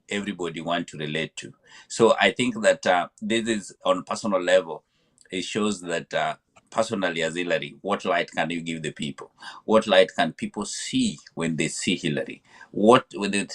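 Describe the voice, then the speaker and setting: Interview guest: a man from Kenya with a very low voice, thoughtful and halting, full of restarts. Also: fairly bright tone. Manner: hesitant, many fillers and repeated words. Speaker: podcast guest. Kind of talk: conversational interview answer.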